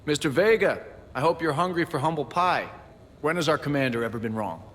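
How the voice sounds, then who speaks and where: A man's voice: on the high side, expressive, a little fast, fairly bright tone. An actor playing a friendly, teasing crewman and keen sports fan in a space video game, in casual banter. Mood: confident, eager. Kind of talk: casual game dialogue acting. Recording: reverberant room, faint ambient noise.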